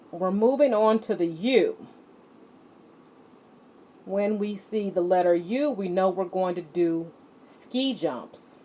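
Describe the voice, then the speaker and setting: A woman teaching children as an exercise leader, speaking deliberately and emphatically, addressing her students directly. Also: fairly low pitch, darkish tone. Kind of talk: instructional lesson to children, read-along with warm-up exercises. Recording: muffled audio with clear background noise.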